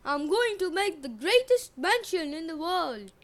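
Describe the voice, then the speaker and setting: A woman's voice: very high-pitched, expressive, unhurried, fairly bright tone, voice pushed up, heavily acted. A woman voicing an arrogant, boastful young male prince who grumbles and bellows. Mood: arrogant, bellowing. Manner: gruff, bellowing.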